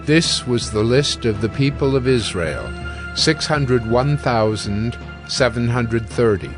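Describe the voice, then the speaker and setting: A man narrating scripture from a script in a solemn, formal and measured way. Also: mid-range voice, music in the background.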